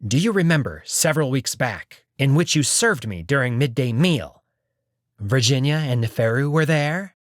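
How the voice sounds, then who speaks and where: A man's voice: mid-range voice, lively intonation, moderate pace, dry recording, clean studio sound, close to an everyday voice. A man voicing a haughty, menacing male alien wolf noble in a visual novel, with an imperious, sneering manner. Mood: menacing, scornful.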